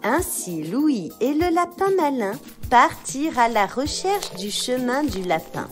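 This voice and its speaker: A woman narrating a children's tale in an expressive, scripted storytelling style, phrasing clearly for young listeners. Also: high voice, measured pace, faint music behind.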